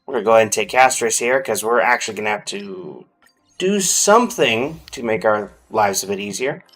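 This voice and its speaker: A man's voice: on the low side, animated, fairly quick, a bit bright. Male game streamer with music behind. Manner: chatty, addresses viewers directly. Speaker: live streamer. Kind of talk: casual gaming livestream commentary.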